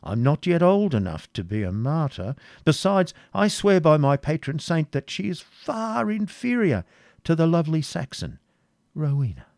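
A man's voice: mid-range voice, lively intonation, normal speed, gravelly, hardly any pauses, warm tone, dry recording, clean studio sound, voice pushed up. A man voicing a pious, easily scandalised prior in a storybook reading, fussy and exclamatory. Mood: horrified.

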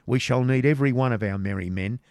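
A man's voice: medium pitch, fast, darkish tone, dry recording, clean studio sound, voice pushed up, close to an everyday voice. Man playing a brusque, commanding outlaw yeoman. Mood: stern, questioning. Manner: blunt, archaic English.

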